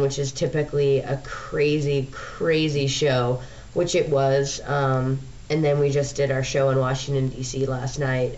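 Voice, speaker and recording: A woman's voice: sits low, a little mellow, distant mic. A young woman with a stuffed-up, nasal voice from a sinus infection, chatting casually in a video diary with lots of ums. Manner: rambling, chatty, frequent ums. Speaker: touring show crew member vlogging. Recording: dull lo-fi audio from away from the mic, faint noise.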